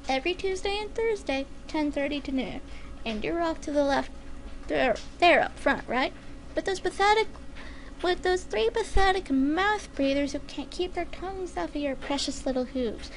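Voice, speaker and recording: A woman's voice: high voice, lively intonation, moderate pace. A woman voicing a menacing young female pony hunter with a sarcastic, haughty manner in a story reading. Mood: scornful.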